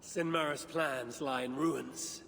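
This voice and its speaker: An actor playing a bold, determined Norse god with an imperious, deliberate manner in a video game cutscene. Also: male voice, medium pitch, steady tone, gravelly, a bit bright. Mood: probing, stern. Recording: long reverb, faint background noise.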